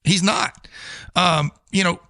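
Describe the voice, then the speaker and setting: A man's voice: high voice, fairly even intonation, takes it slowly, sharp tone, dry recording, studio quality. An American man co-hosting a sports talk show, giving casual, opinionated commentary in an emphatic way.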